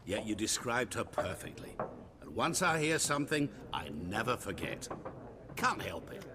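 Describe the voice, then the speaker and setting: An actor playing a sly wandering merchant in a fantasy game, florid and courteous, ingratiating and teasing. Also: male voice, lowish voice, big pitch swings, moderate pace. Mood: sly, genial. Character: sly, humble-seeming wandering male merchant, theatrical and courteous. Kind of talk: fantasy video game acting. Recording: game dialogue with clear background noise.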